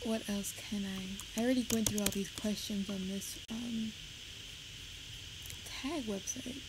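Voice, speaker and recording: A young woman with a Puerto Rican accent chatting on a livestream, talking fast and rambling nervously. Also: medium pitch. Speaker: live streamer. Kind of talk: rambling casual chat on a livestream. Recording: noisy home stream audio.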